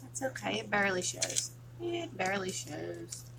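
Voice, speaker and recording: A woman hosting a casual crafting livestream, chatting softly with viewers while she works. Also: medium pitch, sing-song, moderate pace, creaky, heavy background noise. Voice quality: soft, relaxing voice.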